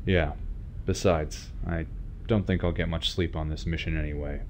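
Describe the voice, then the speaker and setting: A man playing a calm, decisive starship captain in a science fiction audio drama, speaking with measured authority. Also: extremely low pitch. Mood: low-key. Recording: background noise, ship ambience.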